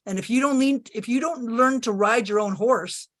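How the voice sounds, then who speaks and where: A woman's voice: a little high, normal speed, fairly bright tone. Confident woman giving folksy health advice. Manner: confident, folksy, uses sayings. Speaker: natural health practitioner. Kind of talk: advice on a video call Q&A.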